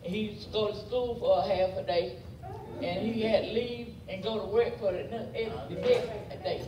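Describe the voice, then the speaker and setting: A man's voice: high-pitched, steady tone, normal speed, crowd noise. An older man giving an informal, rambling family remembrance at a funeral. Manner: rambling, plain-spoken, repeats points. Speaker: mourner giving a family tribute. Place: large church sanctuary, indoors. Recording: echoey church from far off, music behind.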